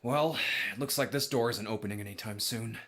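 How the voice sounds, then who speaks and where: A man voicing a gruff, blunt grown man with a husky voice in a visual novel. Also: fairly low pitch, a little fast, a bit bright, voice pushed down.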